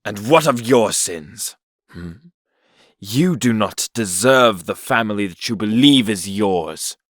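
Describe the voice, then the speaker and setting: Man acting a cold, slow-spoken villain prince. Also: lowish voice, animated, no room sound, studio quality. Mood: contemptuous. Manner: theatrical, menacing, deliberate. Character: cold, bitter, proud villainous prince of myth. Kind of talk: dramatic fan audio drama acting.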